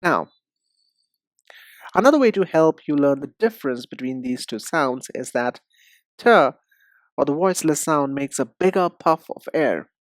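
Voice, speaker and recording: Male language tutor for lesson narration. Slow, deliberate delivery, expressive mid-range pitch, addresses the learner directly. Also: no echo. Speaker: English pronunciation teacher. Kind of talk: instructional lesson.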